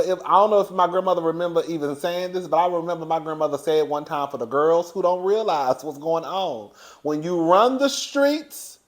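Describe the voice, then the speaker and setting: A man in his thirties hosting a gossip vlog, chatty and animated, laughing often as he talks. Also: a little high. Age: 30s. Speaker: host.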